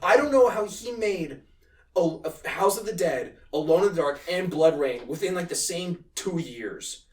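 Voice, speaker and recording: A man hosting a casual, profane film review chat, excitable and swearing freely. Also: on the high side, unhurried. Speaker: podcast host. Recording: roomy echo, distant from mic.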